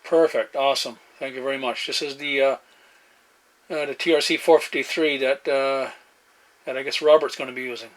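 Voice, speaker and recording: A man chats casually in a halting, laid-back way, using CB radio jargon like a hobbyist at his desk. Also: medium pitch, steady tone. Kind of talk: casual radio chat and demo narration. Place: indoor desk beside CB radio sets. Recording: noisy room with radio hiss. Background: radio static and room noise.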